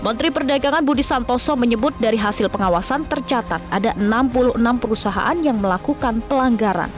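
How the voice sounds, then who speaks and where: A woman's voice: fairly high pitch, fast, music in the background. A woman reading scripted television news narration as a voice-over.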